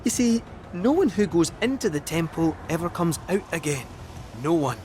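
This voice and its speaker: A man's voice: on the high side, animated, moderate pace, a little mellow, a little hiss or hum, close to an everyday voice. A man voicing a small, chatty, cagey cat robot sidekick in a game cutscene. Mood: hesitant warning.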